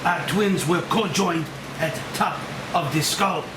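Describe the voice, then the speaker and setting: A man's voice: on the high side, a little slow, natural voice. A man playing a grieving, remorseful older Japanese doctor of nuclear science in a script table read, speaking solemnly in short weighty phrases. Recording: distant mic, echoey room, steady hiss.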